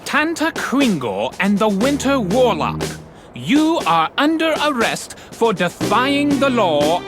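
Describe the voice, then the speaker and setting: A stern cartoon officer proclaims in official manner. The voice is fairly high and very deliberate, with loud music behind it. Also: female voice. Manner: declamatory, official. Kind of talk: cartoon game voice acting.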